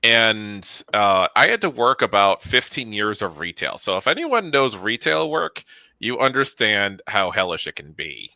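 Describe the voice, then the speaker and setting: A man's voice: fairly low pitch, big pitch swings, measured pace, vocal fry, bright, forceful tone, no room sound. A middle-aged man explaining his work as an interview guest, informal and enthusiastic. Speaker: interview guest, studio manager. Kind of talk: casual explanatory chat.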